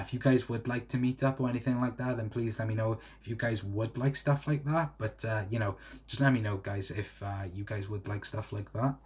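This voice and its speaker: Rambling young Welsh man, slightly low voice. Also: a little mellow. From South Wales. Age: 20s. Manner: rambling, many fillers and "to be fair". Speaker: livestream host. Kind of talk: casual livestream chat reading viewer comments.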